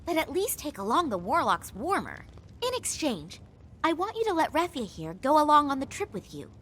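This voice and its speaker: A woman's voice: high voice, wildly swooping pitch, normal speed, fairly bright tone, full character voice. A woman voicing a plucky, bossy but kind-hearted little innkeeper in a fantasy video game. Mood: exasperated, warning. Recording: faint game music behind.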